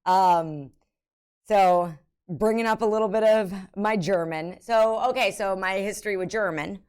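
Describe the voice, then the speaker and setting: A woman's voice: mid-range voice, unhurried, darkish tone, smooth. An American woman streamer in casual live chat, rambling with many ums and self-mocking laughter. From United States.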